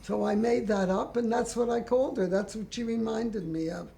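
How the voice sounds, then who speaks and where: A woman's voice: a little high, moderate pace, gravelly, dark tone. An older woman, reminiscing casually in a plainspoken, wry way. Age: older, 70s or above. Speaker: interviewee, longtime mahjong player.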